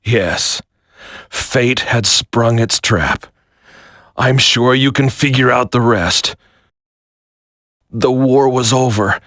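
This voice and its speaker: Embittered soldier haunted by guilt, slow solemn confession, game drama, dry close sound. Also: male voice, mid-range voice, a bit bright, no echo. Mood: grim, bitter. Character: guilt-ridden embittered male soldier turned terrorist confessing. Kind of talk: dramatic video game voice acting.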